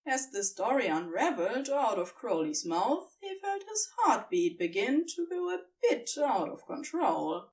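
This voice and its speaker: A woman narrating fan fiction as an expressive scripted audiobook reading. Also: on the high side, unhurried, hardly any pauses, recorded from a distance.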